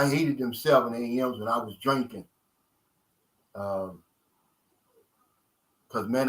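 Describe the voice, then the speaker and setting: A man's voice: medium pitch, sharp tone, poor audio. A man in his fifties hosting a live stream, reading viewers' comments and answering them in loose, streetwise talk. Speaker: vlogger reading live chat. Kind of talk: casual live-stream chat.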